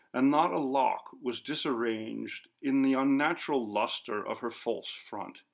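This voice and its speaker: A man narrating a literary ghost story as an audiobook, in a measured, dramatic manner. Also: mid-range voice, gravelly.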